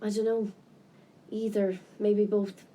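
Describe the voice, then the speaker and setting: A woman playing a gentle, pensive Irishwoman in naturalistic radio drama, tentative and a little wry. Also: mid-range voice, flat delivery, slow pace, a little hiss or hum, lightly acted.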